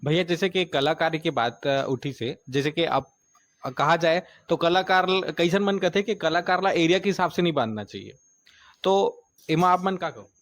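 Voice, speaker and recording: A male interviewer with a Chhattisgarhi accent. His voice is fairly high, and he works his way into each question haltingly, leaning on fillers as he goes. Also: a bit bright. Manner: hesitant, many fillers.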